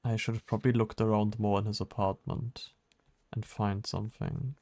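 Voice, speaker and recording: A man's voice: on the low side, flat delivery, normal speed, a little mellow, no room sound. A man streaming a game with casual commentary, wryly musing aloud to himself. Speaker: live streamer playing a detective game.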